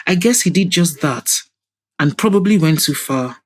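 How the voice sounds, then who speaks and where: A woman's voice: low-pitched, lively intonation, moderate pace. A woman playing an arrogant middle-aged businessman in an audio drama, pompous, mocking and self-righteous. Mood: scornful, indignant. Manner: pompous, scornful.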